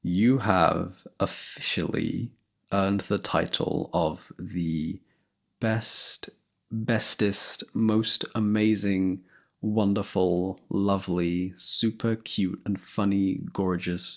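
A young man speaks close to the mic in a low, gravelly voice that sits deep in his chest. He talks slowly and playfully, teasing and laughing softly, warm and affectionate like a boyfriend at bedtime. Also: steady tone. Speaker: boyfriend roleplay performer. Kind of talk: ASMR boyfriend roleplay.

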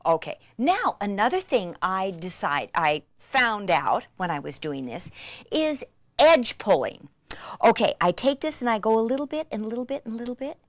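A woman giving an excitable, gushing craft demonstration, with breathy exclamations and words repeated for emphasis. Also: mid-range voice, dry recording. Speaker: craft instructor demonstrating techniques. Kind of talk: enthusiastic hands-on craft demonstration.

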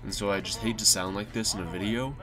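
A man's voice: lowish voice, steady tone, fast talker. A young man with a cold, sounding nasal and stuffy, chatting casually over gameplay with lots of ums and restarts. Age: young adult. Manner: rambling, with many fillers and restarts. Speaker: YouTube gamer. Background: game music and sounds.

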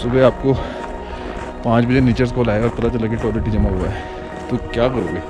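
A man's voice: mid-range voice, fairly even intonation, brisk. Man vlogging outdoors in the snow. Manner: chatty, mixes English into speech, reflective at times. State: out of breath from walking at altitude. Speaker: travel vlogger. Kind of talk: casual travel vlog narration. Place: outdoors in a snowy mountain valley. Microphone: action camera held close. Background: ambient music.

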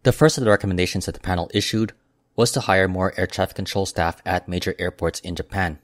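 A man with a deep, low voice reads aviation news in a steady, measured way. The recording is dry and close, with no room sound around him. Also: no echo. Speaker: aviation news channel host. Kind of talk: scripted news narration. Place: voice-over, not recorded in the pictured airport.

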